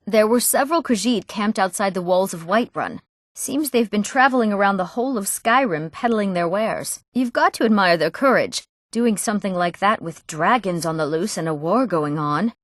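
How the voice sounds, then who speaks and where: A woman's voice: medium pitch, dry recording, clean studio sound, lightly acted. A young woman playing an earnest, proper young townswoman and noblewoman in a fantasy video game, speaking politely and formally with careful enunciation. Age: young adult. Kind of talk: scripted fantasy game dialogue.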